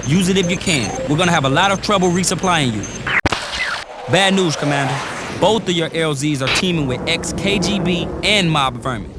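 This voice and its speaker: A man's voice: a little high, heavy background noise, lightly acted. A man playing a wisecracking military briefer. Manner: brash, joking, slangy. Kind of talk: video game cutscene briefing.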